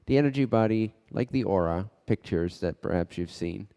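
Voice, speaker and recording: A man leading a guided meditation in a measured, halting way, speaking in short phrases with pauses between them. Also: lowish voice, warm tone. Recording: long hall-like reverb.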